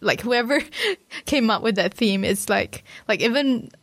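A woman host whose first language is Malay, friendly and quick to laugh. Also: fairly high pitch, a bit bright, no room sound. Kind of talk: casual radio chat.

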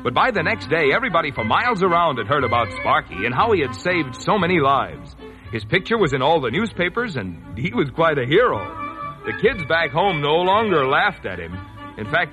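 A man narrates a children's story in a measured storytelling way. His voice sits a little high and moves with lively intonation, and music plays behind him. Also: rough recording.

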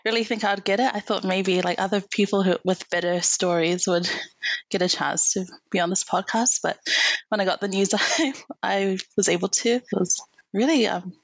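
A woman's voice: mid-range voice, fairly even intonation, moderate pace, dry recording. A young woman from New Zealand, raised in Hong Kong, talking casually as a podcast guest from home, laughing often between fillers. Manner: giggly, with many fillers and laughs. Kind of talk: casual interview talk.

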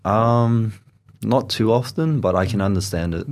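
A man's voice: on the low side, measured pace. A young man from New Zealand with a New Zealand accent, a radio guest chatting casually and slipping Korean words into his talk. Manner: relaxed, mixes in Korean words. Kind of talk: casual interview chat. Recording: community radio studio.

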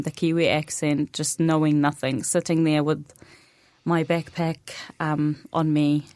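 Palestinian woman, native arabic speaker, young adult, low voice, soft-spoken, many pauses, dry studio sound. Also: no room sound. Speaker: interview guest. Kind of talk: radio interview conversation.

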